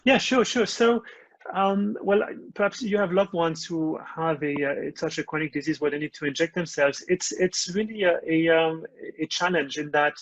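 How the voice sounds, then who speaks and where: A man's voice: a little high, normal speed, muffled recording. A man from France with a French accent, earnestly explaining his company's needle-free device, with many fillers. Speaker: company founder. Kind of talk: webcast pitch.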